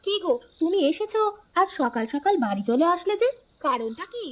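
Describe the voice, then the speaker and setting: A woman's voice: very high-pitched, sing-song, normal speed, fairly bright tone, heavily acted. A woman voicing a caring, fussing village shopkeeper's wife in a cartoon. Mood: attentive. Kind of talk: cartoon voice acting.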